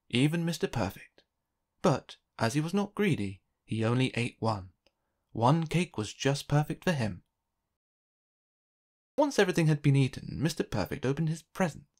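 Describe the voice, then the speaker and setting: A narrator reading a children's storybook aloud in an expressive, theatrical way with deliberate phrasing. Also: mid-range voice.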